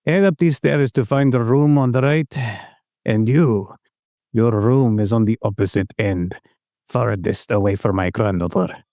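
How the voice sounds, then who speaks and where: A man acts out a proud, domineering grandfather in an audio drama. His voice is dark and gravelly, recorded close and dry with faint music underneath. He is stern, teasing and quick to threaten. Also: no room sound. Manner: stern, teasing, booming laughs.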